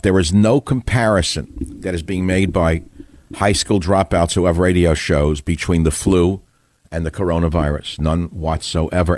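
Brief: low-voiced male radio host, forceful rambling delivery, dry booth sound. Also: no echo. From New York. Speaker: radio talk show host. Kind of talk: political talk radio.

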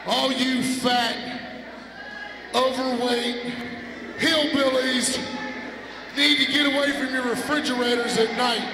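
A man giving a belligerent, insulting wrestling promo as a villain, shouting out his taunts. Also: extremely high pitch, level tone, takes it slowly, long pauses. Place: indoor wrestling arena. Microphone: handheld through PA. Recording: echoey hall PA, crowd noise.

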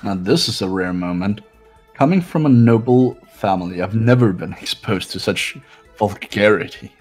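A man acts out a haughty young male heir from a video game. His voice is low, and he talks down to everyone with measured, pompous superiority. Music plays clearly behind him. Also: expressive. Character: arrogant, condescending wealthy young male heir. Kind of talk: visual novel game voice-over.

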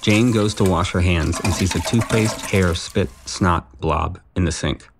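Deep-voiced deadpan male narrator. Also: a little slow, noisy background. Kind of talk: scripted narration of stage directions.

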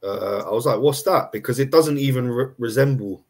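A man, croaky from a cold, talking earnestly as a guest on a video call. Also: mid-range voice, normal speed, darkish tone. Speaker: guest panelist. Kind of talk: casual chat on video call.

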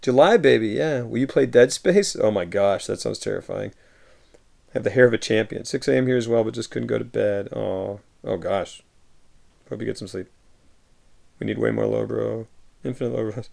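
Thirties man, deeply gravelly, easygoing streamer voice answering chat from his home room on a webcam mic. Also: moderate pace. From United States. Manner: reads viewer messages aloud and replies warmly, often laughing. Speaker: livestreamer reading chat. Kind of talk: casual chat. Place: home room with shelves of films.

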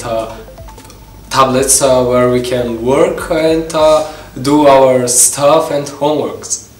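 A teenage boy with a Bulgarian accent, a student talking casually with halting fillers. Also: medium pitch. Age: teens. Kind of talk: testimonial to camera. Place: council hall, indoors. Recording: roomy hall, distant mic.

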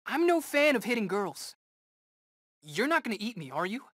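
An actor playing a cocky, eager young rookie superhero in a video game, bantering in casual, wisecracking slang. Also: male voice, high-pitched, big pitch swings, moderate pace, a bit bright, heavily acted. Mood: confident, taunting. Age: young adult.

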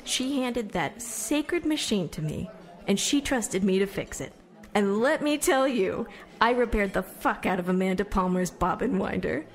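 A chatty young woman who mends sewing machines, proud of her work. She rambles conversationally through an audio drama monologue, her pitch moving about freely. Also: expressive, unhurried. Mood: proud, earnest.

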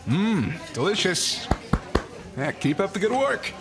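A man's voice: sits high, very animated, slow, over music, heavily acted. A man playing a wisecracking young treasure hunter with sarcastic banter in a fan audio drama. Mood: wry.

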